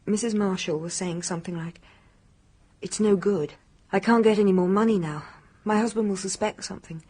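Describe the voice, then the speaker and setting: An actress plays a contemptuous young wife in a radio mystery. Her voice is husky and mid-pitched, held under tight, scornful control. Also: steady tone, brisk, warm tone, natural voice. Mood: tense recounting. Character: bitter, contemptuous married woman, a hotel guest. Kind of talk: radio mystery drama acting.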